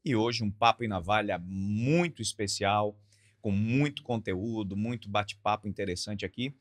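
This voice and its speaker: A man hosting a podcast, opening like a showman and then settling into relaxed chat. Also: lowish voice, normal speed. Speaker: podcast host.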